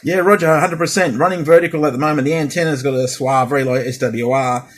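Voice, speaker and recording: A man's voice: fairly high pitch, quick pace, a little hiss or hum. A man in a casual radio chat as a CB operator, speaking hesitantly and repeating himself. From Australia.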